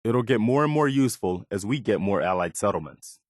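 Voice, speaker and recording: Game role: principled, weary militia officer, played by a male actor, sincere, plainspoken, lowish pitch, dry close recording. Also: lively intonation, brisk, no echo, close to an everyday voice. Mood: earnest, steady. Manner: sincere, plainspoken, morally upright. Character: earnest, steadfast militia officer, weary but principled man. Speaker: game companion character. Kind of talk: video game voice acting.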